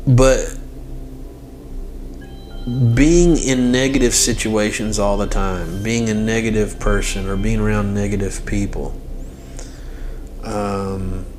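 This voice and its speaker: A man's voice: on the low side, a little mellow, slight background noise. A man giving an informal devotional lesson as host, speaking directly to the listener and repeating phrases for emphasis. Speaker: host and religious teacher. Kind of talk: informal devotional teaching to camera or mic.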